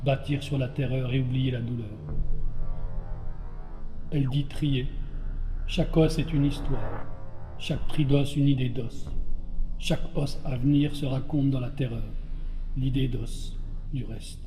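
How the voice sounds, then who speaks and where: A man reads spoken-word poetry in a solemn, measured way, in short clipped phrases. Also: mid-range voice, steady tone, mellow, rough recording. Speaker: poet. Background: music.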